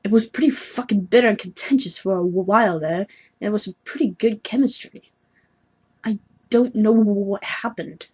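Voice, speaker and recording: A woman's voice: mid-range voice, moderate pace, darkish tone, breathy edge, lightly acted. A woman voicing a haughty young male sea troll who schemes and sulks, pompous and petulant, in amateur webcomic acting. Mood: dejected. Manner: pompous, petulant, self-pitying.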